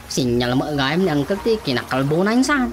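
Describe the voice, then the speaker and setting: A man's voice: on the high side, very animated, brisk, background noise. A man acting an eager, goofy young nephew in a radio comedy drama, comically deferential to his elders. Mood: sheepish, joking.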